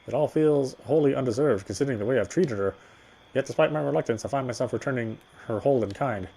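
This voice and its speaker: A man reading the first-person narration of a horror visual novel in a measured, brooding way. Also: medium pitch, creaky, mellow, husky, poor audio. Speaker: reader.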